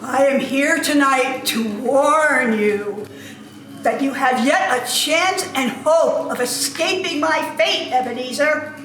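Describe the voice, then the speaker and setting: A woman's voice: sits high, takes it slowly, a bit bright. A woman in an amateur dramatic reading playing a mournful male ghost in chains who declaims solemn warnings. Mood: urgent warning. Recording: very echoey room, faint background noise.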